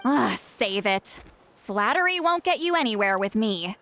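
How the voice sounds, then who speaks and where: Proud prickly game shaman, youthful, high, very animated, brusque, easily flustered. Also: measured pace, music in the background. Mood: haughty. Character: proud, prickly, reclusive female great shaman, youthful-sounding, secretly geeky. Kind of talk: video game voice acting.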